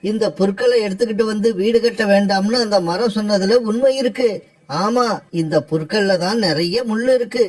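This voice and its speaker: A man's voice: high-pitched, fairly quick, a little mellow. A man voicing a lazy, plaintive male crow in a children's cartoon story. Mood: rueful.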